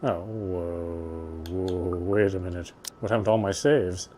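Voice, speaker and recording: A man's voice: low-pitched, steady tone, unhurried, dark tone, slight background noise. A man giving casual gameplay commentary, thinking aloud in a chatty way. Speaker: game streamer and host.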